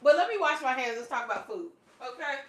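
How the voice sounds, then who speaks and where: A woman's voice: high voice, expressive, fast. A woman in her late forties chats through a casual livestream. She talks loosely, with plenty of fillers and easy laughter, and her grammar stays informal. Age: 40s. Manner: chatty, laughs often, many fillers. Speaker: fitness channel host.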